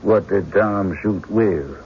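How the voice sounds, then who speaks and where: An actor playing a stern, methodical prosecuting lawyer with formal, deliberate questioning in an old-time radio drama, on a muffled recording. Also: male voice, fairly low pitch, vocal fry. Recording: muffled, faintly noisy radio broadcast.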